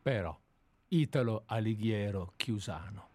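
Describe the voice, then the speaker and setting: A man's voice: fairly low pitch, mellow, no room sound. A man discussing literature informally as a host, hesitant and often repeating himself as he searches for words. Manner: hesitant, halting, searching for words with frequent repetitions.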